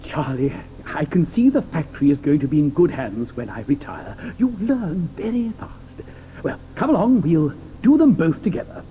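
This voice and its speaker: An actor playing an eccentric, excitable male chocolate maker in a 1980s audio drama, with theatrical, whimsical exclamations. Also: fairly high pitch, normal speed, slight background noise, low-quality recording. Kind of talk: 1980s radio drama acting.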